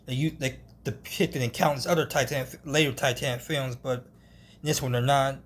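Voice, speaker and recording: A man giving an unscripted film review, halting, often restarting and hedging as he goes. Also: medium pitch, steady tone.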